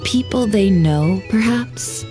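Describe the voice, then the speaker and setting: An actress playing a composed, shrewd and secretive woman in a video game, speaking in poised, formal, measured phrases. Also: mid-range voice, lively intonation, over music. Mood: cool, probing. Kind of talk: video game story dialogue.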